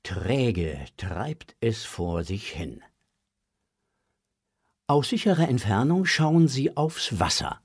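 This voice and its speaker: Male narrator for kids' audio play; unhurried, husky, lively intonation. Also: mid-range voice, dry recording, studio quality. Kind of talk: expressive scripted narration for a children's audio drama.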